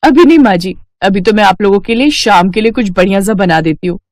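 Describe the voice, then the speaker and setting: A woman's voice: medium pitch, fairly quick, darkish tone, dry recording, lightly acted. A woman voicing an eager young daughter-in-law who loves cooking, in a cartoon story. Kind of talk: Hindi cartoon story dialogue.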